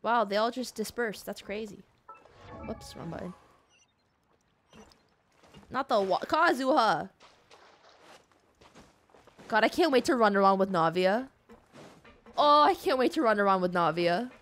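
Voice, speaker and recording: A woman's voice: mid-range voice, lively intonation, a little slow, long pauses, a bit bright. A woman livestreaming a game, talking in a playful, reactive, conversational way as she comments. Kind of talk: casual live gaming commentary. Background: faint game music.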